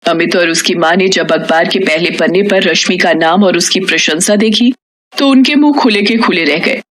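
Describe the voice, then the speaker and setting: A woman's voice: fairly low pitch, normal speed, fairly bright tone. A woman reading a scripted moral tale as storyteller, in narration.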